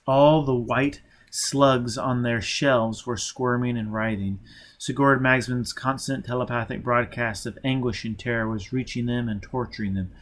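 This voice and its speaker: A man's voice: medium pitch, steady tone, measured pace. Man narrating fiction in a mid-range voice. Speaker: audiobook reader. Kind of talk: dramatic scripted narration.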